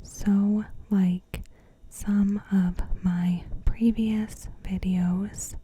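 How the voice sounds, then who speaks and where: A woman softly whispering a scripted recap as an ASMR host, in short, deliberate phrases with pauses. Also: medium pitch, flat delivery, slight background noise. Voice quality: soft, breathy whisper. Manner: slow, deliberate, pausing between short phrases.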